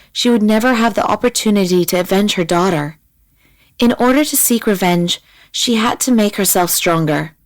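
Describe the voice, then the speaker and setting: A woman reads as an audiobook narrator. Her voice has a middle pitch, and her delivery is measured and dramatic. The recording is very dry and close. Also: no echo, low-quality recording.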